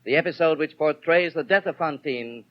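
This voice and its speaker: A man's voice: a little high, normal speed. A man reading a scripted announcement in the formal style of a 1930s radio announcer. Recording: dull 1930s radio recording.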